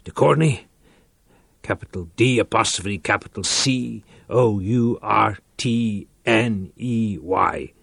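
An actor playing a desperate man sliding into panic and madness, pleading and crying out in a hoarse voice. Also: lowish voice, takes it slowly, fairly bright tone, no room sound, clean studio sound, exaggerated voice. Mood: insistent. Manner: pleading, cries out, wavers into sing-song. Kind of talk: radio drama acting.

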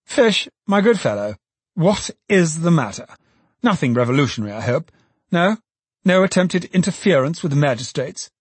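Male reader as self-important storybook alderman: raised pitch, exclamatory, piously lamenting, dry close recording. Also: sing-song, normal speed, breathy edge, no echo. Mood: affable, anxious. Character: pompous, sanctimonious alderman, excitable and self-important man. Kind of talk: dramatized audiobook character voice.

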